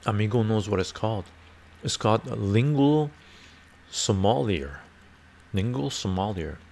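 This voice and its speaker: Older man, gravelly low voice, livestream chat. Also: lively intonation, unhurried, a little mellow, slight background noise. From Canada. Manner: chatty, answers viewer comments aloud. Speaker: livestream host reading chat. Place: home study with bookshelves. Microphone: lapel mic.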